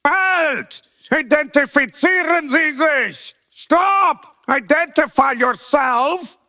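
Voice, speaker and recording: Jumpy soldier, piercing voice, slow barked orders, dry, music behind, audio drama. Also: male voice, very high-pitched, sharp tone, no echo, heavily acted. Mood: panicked commanding. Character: jumpy young German army scout barking orders. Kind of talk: animated war fantasy audio drama acting.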